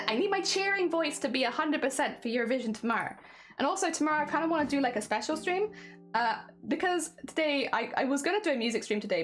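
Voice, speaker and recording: Wheezy woman streamer on a headset, high-pitched and animated, faint music behind. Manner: chatty, rambling, laughs often. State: wheezy, voice strained. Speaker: crafting livestreamer. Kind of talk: casual chat to live chat.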